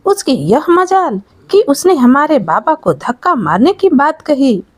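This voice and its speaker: A woman's voice: high voice, very animated, measured pace. A woman storyteller voicing a clever, defiant young peasant man, determined and vengeful, in a moral tale.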